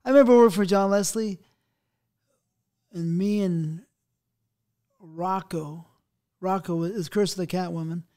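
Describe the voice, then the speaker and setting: Brief: middle-aged male host, rather high voice, boisterous, crude humor, studio mic. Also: fairly even intonation, a little slow, long pauses, dark tone. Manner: boisterous, crude jokes, laughs a lot. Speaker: podcast host. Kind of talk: casual interview chat. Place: small podcast studio indoors. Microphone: studio microphone, wearing headphones.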